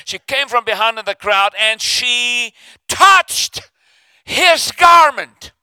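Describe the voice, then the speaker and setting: Fervent preacher. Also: male voice, very high voice, lively intonation, slow, sharp tone, smooth, dry recording. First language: Afrikaans. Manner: fervent, calls for responses, acts out inner voices. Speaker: pastor. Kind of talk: sermon.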